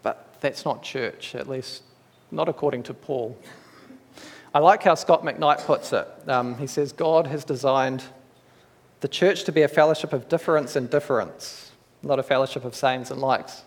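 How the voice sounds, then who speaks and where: A man with a New Zealand accent delivers a sermon in a church hall, speaking in an earnest, measured way. His delivery stays conversational, with small restarts. The long reverb of the hall trails after his words. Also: mid-range voice. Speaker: preacher.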